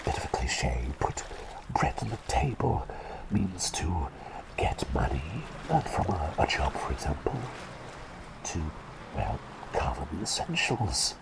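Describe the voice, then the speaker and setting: Man whispering as a gentle, bumbling bird. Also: very animated, a little slow, full character voice, low-quality recording. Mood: bashful storytelling. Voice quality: breathy whisper with creaky low voiced moments. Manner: hesitant, polite, repeating phrases softly. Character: gentle, bumbling talking bird doctor, kindly and silly. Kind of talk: whispered ASMR roleplay.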